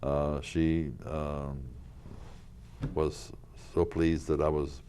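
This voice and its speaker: An older man, a local historical reenactor, telling rambling stories in a casual interview, with a loud voice and many fillers and restarts. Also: extremely low pitch, little pitch movement, extremely slow, slight background noise. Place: community TV studio.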